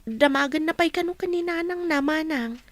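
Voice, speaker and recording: A woman's voice: sits high, normal speed, no room sound, lightly acted. A woman playing a repentant young village woman eager to make peace, in a radio drama, with an Ilocano accent. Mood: hopeful, earnest.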